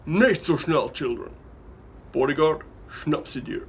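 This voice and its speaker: A man's voice: a little high, lively intonation, a little slow, slight background noise. An actor playing a slick, deceitful businessman in an audio drama, speaking with an English accent and dropping English words in. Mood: menacing. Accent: English-accented German. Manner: mixes English words into his speech. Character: slick, deceitful businessman, smugly denying wrongdoing.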